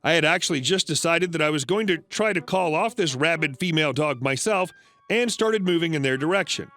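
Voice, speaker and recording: A man narrating reddit stories in a scripted read, flat and even with sudden pitch jumps. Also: brisk, no room sound, faint music behind.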